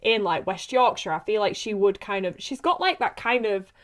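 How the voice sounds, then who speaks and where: A woman talks in an informal, chatty way, like an actress relaxing on a podcast. Her intonation is highly animated, moving freely up and down around a mid-range pitch. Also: normal speed. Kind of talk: casual chat.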